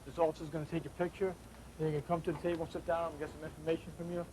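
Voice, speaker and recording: A man's voice: on the high side, flat delivery, very fast, a little mellow, slight background noise, poor audio. A male police officer explaining procedures and instructing people in a procedural, matter-of-fact manner. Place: busy street outdoors at night.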